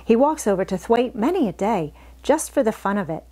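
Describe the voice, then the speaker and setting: A woman's voice: a little high, very animated, brisk, mellow. Storybook role: woman as good-hearted, talkative young maid, folksy country dialect. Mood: excited, helpful. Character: chatty, good-hearted young Yorkshire housemaid.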